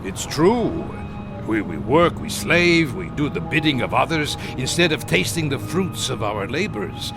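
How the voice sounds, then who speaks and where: An aging ex-Nazi officer in a radio drama, slow and hesitant, with wide swings of pitch. He is evasive and self-pitying, doubling back on his words, over prominent music. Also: big pitch swings, vocal fry. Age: older. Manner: hesitant, stammering, repeats words. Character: older male former Nazi officer posing as a tourist, evasive, self-pitying.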